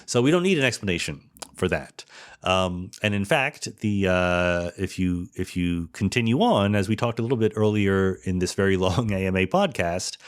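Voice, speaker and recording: A man's voice: sits low, normal speed. A man giving an informal explanatory monologue as a podcast host, posing questions and answering them himself. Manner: explanatory, asks and answers own rhetorical questions. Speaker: podcast host, theoretical physicist.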